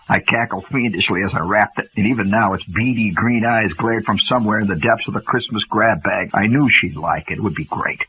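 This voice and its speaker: Tinny vintage radio, faint noise, American male storyteller, theatrical, mock-epic, comic, widely swinging pitch. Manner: animated, mock-epic, dramatic.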